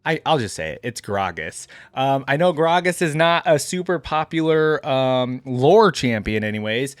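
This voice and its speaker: A man's voice: mid-range voice, expressive, normal speed, no room sound. A man hosting a reaction video, giving conversational, rambling commentary and often addressing his viewers directly. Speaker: YouTube reaction host. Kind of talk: casual reaction commentary to camera.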